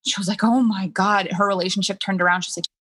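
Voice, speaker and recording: A woman in her thirties with a French Canadian accent, whose first language is French, talks through her story with candid animation. Her voice sits at a middle pitch and keeps a loose, conversational flow, with "like" scattered through. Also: steady tone, fast, fairly bright tone, slightly rough. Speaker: business coach. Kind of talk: casual interview chat.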